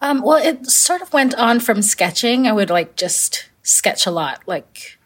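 A woman's voice: fairly high pitch, big pitch swings, measured pace, slightly rough. A young woman from Malaysia, a fashion designer answering interview questions in a thoughtful, hesitant way. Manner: thoughtful, many fillers and restarts.

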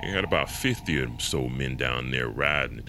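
Very deep Southern gossip man. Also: lively intonation, moderate pace, noisy background. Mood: gossipy. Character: deep-voiced small-town Southern gossip. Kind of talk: audio drama acting. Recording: music behind the voice.

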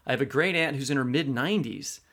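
American guy in middle age hosting a podcast interview, regular pitch, chatty and kinda rambly. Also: fast talker, a bit bright. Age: middle-aged. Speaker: podcast co-host.

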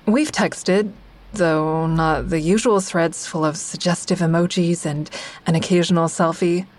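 A woman's voice: fairly low pitch, steady tone, measured pace, a little mellow. A woman acting as the wry, observant first-person narrator of an audio drama, a member of a support group. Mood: wry, uneasy. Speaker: narrator and character.

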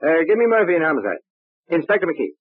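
An actor playing a shrewd, brusque homicide inspector in an old radio crime drama, clipped and commanding. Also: male voice, fairly high pitch, big pitch swings, quick pace, no room sound. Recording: muffled, band-limited old radio recording.

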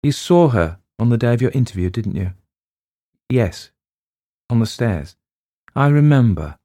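A man voicing a brisk, matter-of-fact middle-aged female schoolteacher in a read story. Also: medium pitch, very animated, dark tone, dry recording, clean studio sound, pitched above the performer's own voice.

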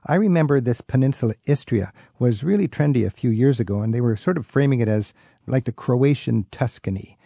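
A man's voice: medium pitch, animated, brisk, creaky, dark tone, slightly rough, no echo. A man with an American accent hosting a radio interview, asking earnest, conversational questions. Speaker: radio host.

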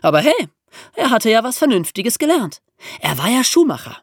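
Podcast history presenter, mid-pitched voice, lively intonation, dramatic storytelling emphasis, dry studio sound. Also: moderate pace, no room sound.

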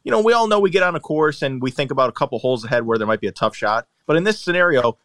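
A man's voice: medium pitch, lively intonation, racing, a bit bright, no room sound. A man chatting casually on a podcast, telling long, rambling, self-deprecating stories into a close mic. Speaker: golfer guest and regular of the podcast.

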